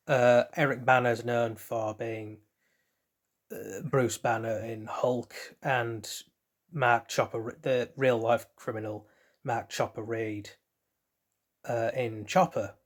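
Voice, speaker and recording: A man's voice: mid-range voice, steady tone, takes it slowly. A man hosting a casual trivia quiz, reading out questions and then rambling loosely through hesitant asides about the answers. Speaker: quiz host.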